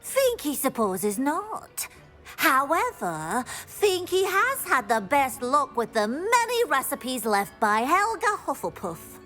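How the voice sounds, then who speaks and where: A cheerful house-elf servant, high and wildly sing-song in pitch. She takes her time over words, fussing obligingly like a devoted kitchen helper in a fantasy game. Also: big pitch swings, slow pace, bright, forceful tone, soft background music, heavily acted. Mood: chatty, obliging. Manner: speaks of self in third person, fussy and obliging. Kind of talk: video game character acting.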